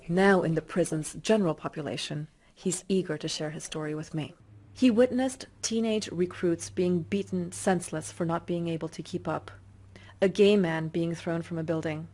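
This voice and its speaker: Female reporter; mellow, lower-pitched voice, composed delivery for narration and questions. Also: slight background noise. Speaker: journalist. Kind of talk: news narration and interview questions.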